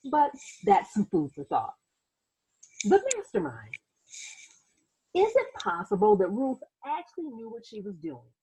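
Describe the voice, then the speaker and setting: A woman reading a children's story aloud like a teacher, expressive and dramatic, with asides to her listeners. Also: medium pitch, slightly rough, rough recording. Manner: animated, dramatic, acts out sound words and exclamations.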